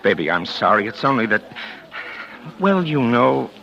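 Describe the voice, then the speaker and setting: A man's voice: mid-range voice, big pitch swings, normal speed, creaky, bright, forceful tone, heavily acted. An actor playing a guarded, well-off bachelor wooing a woman in a 1940s radio drama, casual and evasive. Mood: confessional, tender. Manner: casual, evasive, courting endearments. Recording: dull vintage 1940s radio recording.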